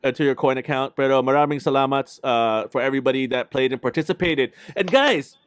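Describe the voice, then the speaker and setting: A man's voice: medium pitch, fast, clean tone, no room sound. A man hosting a casual livestream chat, speaking in an informal, slangy way and often repeating his phrases. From Philippines. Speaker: podcast host.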